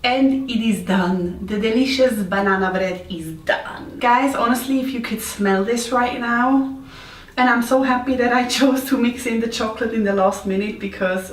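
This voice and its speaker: A woman's voice: a little high, moderate pace, faint music behind. A woman from Switzerland with a Swiss accent, chatting casually and playfully as the host of a home baking vlog. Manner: playful, informal, self-deprecating. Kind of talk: casual chat to camera. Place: indoors, home kitchen. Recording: roomy echo, distant mic, faint noise.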